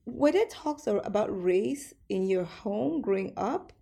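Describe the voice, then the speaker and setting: Mellow, gravelly-voiced woman host. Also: medium pitch, expressive, a little slow. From Cameroon. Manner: reflective, asks long framed questions. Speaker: podcast host. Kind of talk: conversational interview.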